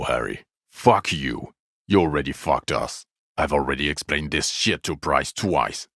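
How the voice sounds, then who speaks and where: A bitter, world-weary policeman with an exceptionally deep voice. It crackles with gravel and sits close and dry. He scolds with heavy sarcasm and open contempt, like a partner who is utterly fed up. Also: sharp tone, no room sound, heavily acted. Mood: scornful, exasperated. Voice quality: gravelly, low. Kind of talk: video game character voice acting.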